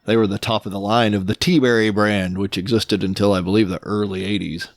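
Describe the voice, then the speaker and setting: A man's voice: fairly low pitch, steady tone, a little fast, no echo, studio quality. A middle-aged man with a folksy, laid-back way of talking, chatting casually as a show host. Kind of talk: casual chat while unboxing vintage electronics. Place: small studio room full of audio gear. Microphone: microphone on a stand.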